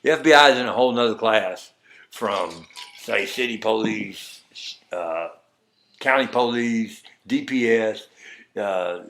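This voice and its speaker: A middle-aged man with a Texas accent tells his story at length, his voice sitting somewhat low. He rambles and slips into acting out the words of the people in it, in a loose, conversational way. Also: unhurried, creaky, fairly bright tone, slight background noise. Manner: rambling, acts out quoted dialogue. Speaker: interview guest.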